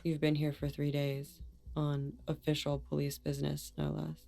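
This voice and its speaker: Low, creaky young woman, flatly deadpan. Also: normal speed. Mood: cool, dry. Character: young woman smoker, cool, politely dry.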